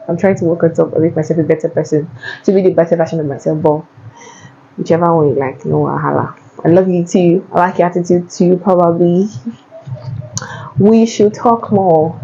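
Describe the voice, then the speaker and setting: Nigerian woman, low mellow voice, chatty and repetitive, slightly dull lo-fi recording. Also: moderate pace, a little hiss or hum. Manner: chatty, repeats herself, many fillers. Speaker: vlogger. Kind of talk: casual Q&A chat answering viewers.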